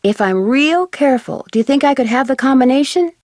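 An actress playing a polite, persistent young amateur detective in a video game, speaking earnestly and precisely. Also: female voice, sits high, animated, brisk, darkish tone, dry recording, studio quality. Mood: polite, persuasive. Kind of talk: video game character dialogue.

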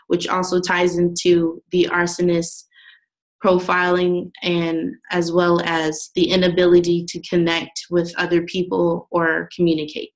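A young woman reads a class presentation off her slides in a fairly low voice. Her delivery stays flat and even, with the odd restart. It sounds like a student working through prepared notes. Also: rough recording.

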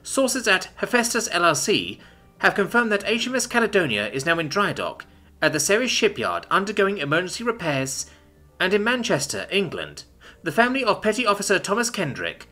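A man doing a crisp, formal TV news anchor. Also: sits high, huge pitch swings, fairly quick, sharp tone, soft background music, voice pushed up, full character voice. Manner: formal broadcast reading.

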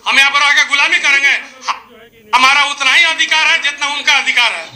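A man's voice: very high-pitched, fairly even intonation, fairly quick, sharp tone. A middle-aged man making an emphatic, defiant political statement to the media. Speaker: political speaker.

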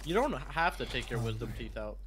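A man's voice: medium pitch, very animated, fairly quick, a bit bright, slight background noise. A man who makes videos, chatting casually and telling rambling, amused stories. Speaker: guest content creator. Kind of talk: casual chat while gaming.

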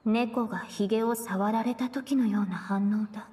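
A woman playing a stoic, blunt, otherworldly young woman in an anime game, speaking formally and deadpan. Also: on the high side, husky. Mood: detached. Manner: flat, formal, deadpan, slow. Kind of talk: Japanese anime game acting.

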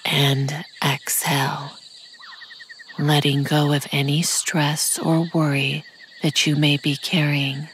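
A woman with a very low voice leads a guided meditation at a very slow pace. Her delivery is soft, breathy and soothing, often close to a whisper. Forest ambience with crickets and insects runs faintly behind her. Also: little pitch movement, a little hiss or hum, low-quality recording. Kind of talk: guided meditation narration, scripted.

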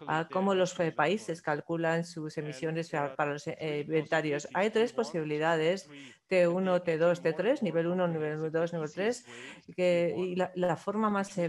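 A woman interpreting a technical presentation live, halting and correcting herself, often adding little checking tags. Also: on the low side, darkish tone. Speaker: simultaneous interpreter.

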